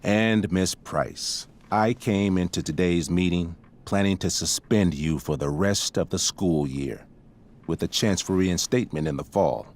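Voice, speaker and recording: An actor playing a stern, middle-aged high school principal with a measured, officious manner in a video game drama. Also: male voice. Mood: firm, reproving.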